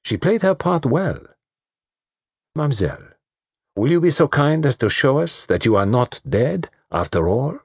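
A man voicing a fussy, commanding detective, pompous and precise, in a slightly husky voice. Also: mid-range voice, sing-song, normal speed, no echo, clean studio sound, voice pushed up. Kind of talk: audiobook reading.

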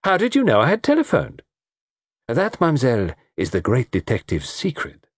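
A man voicing a gallant, shrewd middle-aged detective with a French accent, speaking in courteous, measured, formal phrases in an audiobook story. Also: mid-range voice, huge pitch swings, husky, dry recording, studio quality, voice pushed up, full character voice. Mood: probing.

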